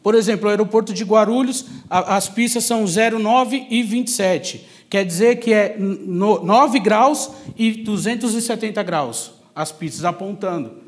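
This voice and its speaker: A man's voice: sits high, moderate pace, hardly any pauses. A man with a Brazilian São Paulo accent preaching a sermon through personal testimony, conversational but emphatic, repeating key phrases for effect.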